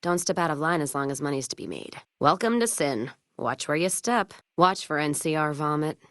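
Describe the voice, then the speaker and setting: A cynical caravanner woman from a post-apocalyptic desert game. Her voice sits low, and she speaks in clipped, folksy, foul-mouthed remarks with a wry edge. The recording is dry, with no room around her. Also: animated, moderate pace, fairly bright tone, no echo. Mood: wry, wary. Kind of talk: video game companion voice lines.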